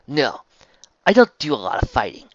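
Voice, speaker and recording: A man's voice: mid-range voice, wildly swooping pitch, moderate pace, dry recording, muffled recording, pitched above the performer's own voice. A man voicing an easygoing, confident, mischievous eighteen-year-old boy in a story, casual and wry. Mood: laid-back.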